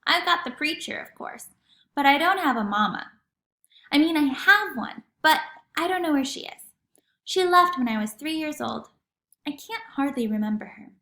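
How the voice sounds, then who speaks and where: Storybook girl of ten, voiced by a woman, hopeful and earnest, high lively voice, roomy sound. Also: moderate pace, full character voice. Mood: confiding. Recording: echoey room.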